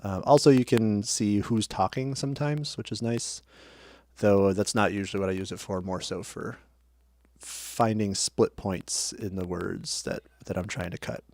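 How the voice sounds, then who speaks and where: A man with a lowish voice walks through his editing workflow in a relaxed, chatty way. He trips over his words now and then and narrates as he clicks. The sound is dry, with no room echo. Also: moderate pace. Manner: chatty, self-correcting, talks while working. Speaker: audio editing tutorial host. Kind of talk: casual screen-share tutorial.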